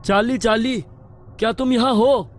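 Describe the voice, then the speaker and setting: An actor playing a bossy young college student in an audio horror story, speaking in an instructive, insistent way. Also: male voice, very high-pitched, fairly even intonation, normal speed, faint music behind. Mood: commanding. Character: young male college student, bossy, serious about spirit games.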